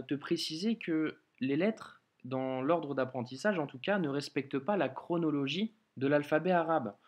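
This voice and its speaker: A man whose first language is French teaches reading Arabic in a patient online lesson. He explains step by step, stopping often with small hesitations. His voice sits at an ordinary middle pitch. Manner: patient, explains slowly, many hesitations and "euh".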